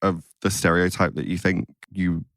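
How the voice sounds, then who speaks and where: Lowish-voiced man in his thirties hosting. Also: flat delivery, moderate pace, no room sound, clean studio sound. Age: 30s. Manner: warm, uses many likes, laughs often. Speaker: podcast host. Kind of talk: casual podcast chat.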